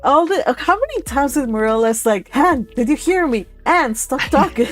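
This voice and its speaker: A woman's voice: sits high, sing-song, moderate pace, faint music behind. A young woman from Mexico hosting a book club, chatty and laughing often, with lots of fillers. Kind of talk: casual chat and reading aloud.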